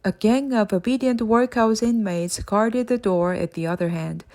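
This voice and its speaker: A woman's voice: fairly high pitch, moderate pace, darkish tone. Woman reading a children's story aloud. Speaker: audiobook reader. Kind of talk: scripted children's story narration with acted dialogue.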